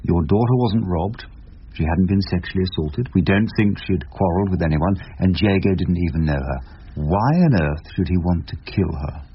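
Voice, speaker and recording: An actor playing an ageing English criminal barrister in a radio drama, wry, literate and measured in dialogue and narration. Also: male voice, low voice, slightly rough. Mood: probing. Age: older. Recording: muffled, noisy lo-fi radio recording.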